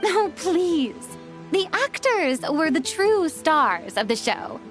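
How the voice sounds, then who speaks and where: A young former stage star for a video game, pitched very high and swinging expressively. She is theatrical, polite and easily flustered, taking her lines at an unhurried pace. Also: sing-song, soft background music, full character voice. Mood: polite, modest.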